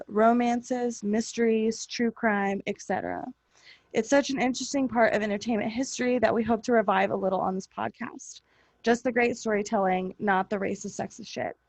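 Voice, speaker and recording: A woman's voice: fairly high pitch, darkish tone, dry recording. A woman podcast host reading a scripted introduction in a casual, irreverent way.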